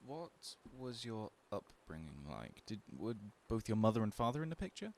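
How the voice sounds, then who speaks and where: A man's voice: lowish voice, big pitch swings, moderate pace, gravelly, darkish tone. A man playing a polite, unsure, newly qualified psychiatric doctor in a radio drama, formal and hesitant in manner. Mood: formal, clinical.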